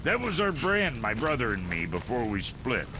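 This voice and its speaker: An actor playing a crude, boastful male blacksmith in a video game, with a gravelly voice, rough grammar and loud exclamations. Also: medium pitch, wildly swooping pitch, moderate pace, noisy background.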